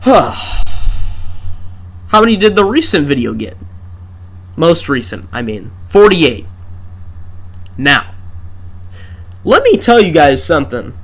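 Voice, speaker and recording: A man's voice: on the high side, big pitch swings, takes it slowly, long pauses. An exhausted man streaming a game and rambling through rhetorical questions into a desk microphone. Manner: talks through his thoughts with many rhetorical questions and restarts. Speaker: gaming livestreamer. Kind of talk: rambling live-stream rant.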